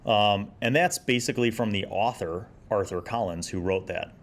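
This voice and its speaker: A man in his forties giving a casual, nostalgic review in a conversational, halting way. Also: fairly low pitch, a little hiss or hum. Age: 40s. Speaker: host of a gaming magazine review series.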